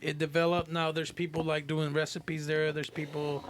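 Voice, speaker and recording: A young man with a slightly high voice chats casually on a podcast. He uses plenty of slang, and there is some faint noise behind him. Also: flat delivery, moderate pace. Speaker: podcast regular. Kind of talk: casual group chat, slangy.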